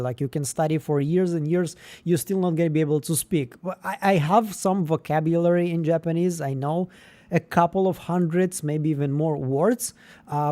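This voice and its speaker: A man's voice: a little high, mellow. A chatty man, hosting a livestream. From Israel. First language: Russian. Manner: rambling, with frequent fillers and restarts. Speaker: livestream host. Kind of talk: casual livestream chat.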